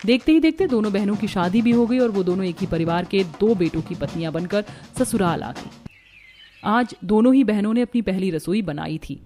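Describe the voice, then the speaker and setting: A woman's voice: medium pitch, a little fast, dark tone, faint music behind. A woman reading scripted narration for a family drama cartoon. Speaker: story narrator.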